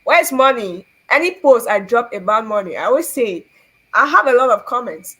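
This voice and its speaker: A woman's voice: a little high, animated, normal speed, bright, forceful tone, husky. A young Cameroonian woman hosting a faith talk, earnest and preachy, asking rhetorical questions and laughing often. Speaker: show host.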